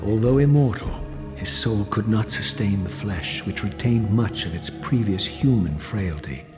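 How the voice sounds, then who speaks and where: A man voicing a proud, vengeful undead vampire in a video game, brooding and bitter, with measured, grandiose, disdainful theatrical delivery. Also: fairly low pitch, over music, lightly acted. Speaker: protagonist narrator. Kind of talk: dramatic scripted game narration.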